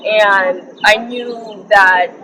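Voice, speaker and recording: A young woman speaks earnestly and reflectively, often stopping to gather her thoughts. Her voice is bright and forward. A faint hiss sits under the recording. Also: mid-range voice, steady tone, extremely slow, low-quality recording. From Bahamas. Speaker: interview guest. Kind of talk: personal testimony in conversation.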